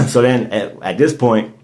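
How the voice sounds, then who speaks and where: A man's voice: mid-range voice, takes it slowly, darkish tone. A man, hosting a podcast and telling a story in casual chat, rambling and restarting his words often. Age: 30s. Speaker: podcast host.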